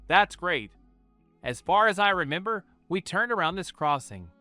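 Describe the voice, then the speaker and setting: A serious, protective young brother, earnest and a little scolding, with a lifted, lively voice, as a man plays him. Clean and bright, read as a storybook part over faint music. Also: high voice, normal speed, dry recording, pitched above the performer's own voice, exaggerated voice.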